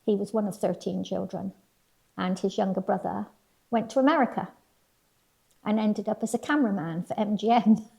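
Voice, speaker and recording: A woman's voice: medium pitch, moderate pace, creaky, long pauses, mellow, slightly rough. An older woman from Weston-super-Mare chatting about her family's history, with some laughs and restarts. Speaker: local resident recalling family history. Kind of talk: casual personal reflection.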